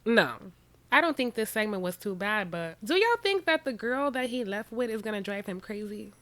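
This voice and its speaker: A woman hosting a reaction channel, giving sassy, animated commentary straight to her viewers. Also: medium pitch, moderate pace, no echo.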